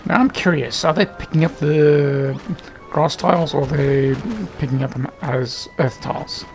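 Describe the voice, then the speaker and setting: A man's voice: fairly high pitch, normal speed, faint music behind. A man giving casual gameplay commentary, thinking aloud in loose fragments. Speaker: gaming video host.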